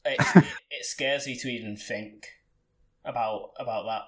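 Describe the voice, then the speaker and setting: A man's voice: medium pitch, fairly even intonation, normal speed. A man in his late twenties hosting a podcast from his bedroom, talking casually with frequent restarts and laughter. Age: 20s. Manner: chatty, laughs often, many restarts. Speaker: podcast host.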